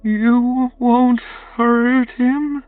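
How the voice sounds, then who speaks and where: Man voicing a high, halting, frightened beggar woman. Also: fairly even intonation, dry recording, distant mic, narrow, tinny audio, faint music behind, heavily acted. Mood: anxious, reluctant. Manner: halting, stammering. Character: frightened young beggar woman, timid, pleading wife.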